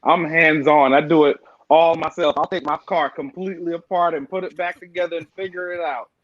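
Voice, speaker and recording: A middle-aged man from Jamaica, a guest on a casual podcast, telling stories in a relaxed way and often saying "man" and "like". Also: a little high, a little fast, no echo, muffled recording. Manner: relaxed storytelling with frequent "man" and "like".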